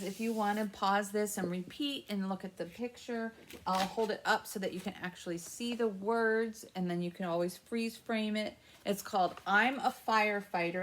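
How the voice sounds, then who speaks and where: An American woman hosting a children's story time, asking simple questions and patiently explaining the answers as she goes. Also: mid-range voice. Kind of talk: interactive read-aloud and teaching for young children.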